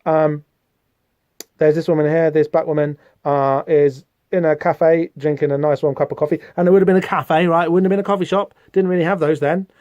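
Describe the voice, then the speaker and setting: A man's voice: a little high, fairly even intonation, fairly quick, a little mellow, dry recording. A man hosting a podcast, musing casually over a comic with frequent ums and restarts. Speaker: podcast host. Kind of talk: casual read-along comic commentary. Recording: dull, slightly muffled podcast audio.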